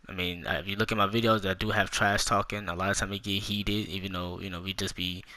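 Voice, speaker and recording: A man's voice: sits low, little pitch movement, fast talker, bright, forceful tone, no room sound. A man in his twenties ranting casually and with a lot of swearing, talking straight to young viewers as a gaming YouTuber. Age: 20s. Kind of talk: casual storytime rant.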